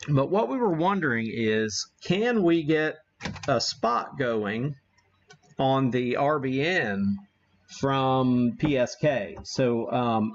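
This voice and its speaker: A man hosting a casual, unscripted live radio-hobby demo, thinking aloud in a halting way as he works the equipment. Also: medium pitch, highs cut off. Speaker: livestream host, amateur radio operator.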